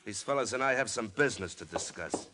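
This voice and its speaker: A man's voice: fairly low pitch, expressive, fast, very noisy, lightly acted. Man playing a surly, bullying small-time crook. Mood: irritated, bullying. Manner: barking, sarcastic, slangy tough-guy talk. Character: bullying, short-tempered small-time male crook plotting a kidnapping. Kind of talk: 1950s radio crime drama acting.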